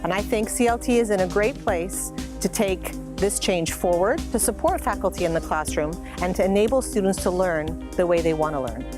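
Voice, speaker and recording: A woman speaking in a measured, reflective way in a promotional interview clip. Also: lowish voice. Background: background music.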